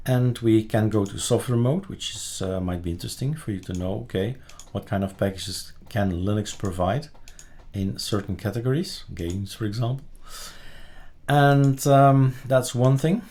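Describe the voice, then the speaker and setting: A man presenting a casual screen-recorded software tutorial, haltingly thinking aloud as he goes. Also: mid-range voice.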